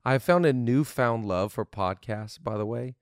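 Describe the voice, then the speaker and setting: A man's voice: medium pitch, moderate pace, no echo, studio quality. A man hosting a casual podcast on leadership, upbeat and playful, laughing easily with his co-host.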